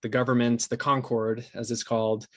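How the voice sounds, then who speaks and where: A man's voice: medium pitch, level tone, moderate pace, dry recording, studio quality. A young man from Brazil talking casually as an interview guest. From São Paulo, Brazil. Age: young adult. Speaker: podcast guest, composer and sound designer.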